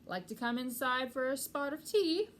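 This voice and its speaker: A woman voicing a scrappy, cheerful, bumbling male pack rat from a storybook, loud and blunt with a breezy manner. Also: high voice, expressive, normal speed, slight background noise, voice pushed up.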